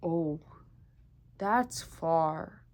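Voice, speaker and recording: A woman voicing a big grown-up hare from a storybook, a gentle, loving parent who speaks tenderly. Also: low voice, very slow, pitched below the performer's own voice.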